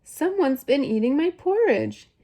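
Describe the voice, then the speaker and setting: A woman voicing an offended, medium-sized mother bear in a storybook, in a measured manner. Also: sits high, very animated, dark tone, pitched above the performer's own voice, heavily acted.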